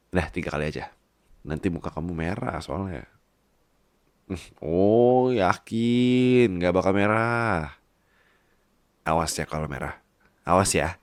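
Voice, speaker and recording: A man's voice: low voice, expressive, slow pace, pauses often, no room sound, exaggerated voice. A man playing a doting, teasing husband, a babyish big boss, in an intimate ASMR roleplay, coaxing playfully and dropping into whispers.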